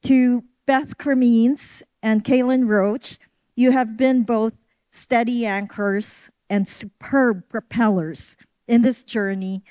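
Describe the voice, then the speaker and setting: Curator, woman, native Filipino speaker; formal, measured, slightly high voice, dry close sound. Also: darkish tone, clean tone, no room sound. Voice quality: formal, measured delivery reading a list of thanks. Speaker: senior director of curatorial affairs. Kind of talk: prepared closing remarks.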